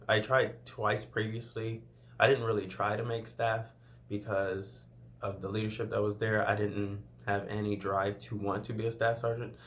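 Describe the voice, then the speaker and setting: A man's voice: lowish voice, fairly bright tone. A man in his late twenties from Louisiana, chatting casually through a Q&A for his first vlog, with frequent laughs and rambling asides. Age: 20s. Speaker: vlogger.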